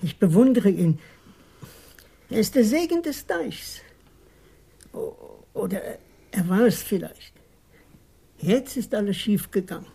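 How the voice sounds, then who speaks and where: An actor voicing a small, evasive police informant in a radio crime drama, hesitant and defensive, repeating words, with a husky voice. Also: mid-range voice, expressive, a little slow, pauses often, mellow, heavily acted. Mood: confiding, admiring. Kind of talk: 1980s German radio play acting.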